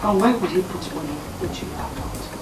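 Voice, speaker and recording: A woman playing a scheming mother who persuades in a low, conspiratorial way. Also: animated, a little fast, lightly acted. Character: scheming mother pushing a rich match. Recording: roomy, distant, music underneath.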